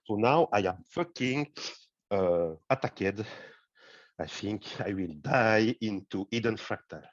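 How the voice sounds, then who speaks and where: A man's voice: on the low side, lively intonation, takes it slowly, no room sound, highs cut off. A man with a French accent, sick with a cough, chatting playfully in broken English on a video call. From France. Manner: playful, chanting and sing-song, broken English. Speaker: community member. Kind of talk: casual video call chat.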